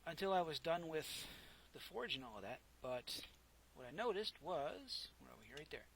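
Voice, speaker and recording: A soft-spoken man casually narrating his own gameplay, rambling and correcting himself as he goes, close to a desk microphone. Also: a little high, very animated, normal speed, gravelly, slightly rough. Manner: rambling, self-correcting, chatty. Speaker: gameplay commentator. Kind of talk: casual let's play commentary.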